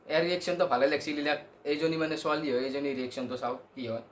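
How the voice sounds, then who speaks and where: Fast-talking Assamese man hosting prank calls. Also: on the high side, a little hiss or hum. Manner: chatty, addresses viewers directly. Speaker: prank call show host. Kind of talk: casual vlog commentary to viewers.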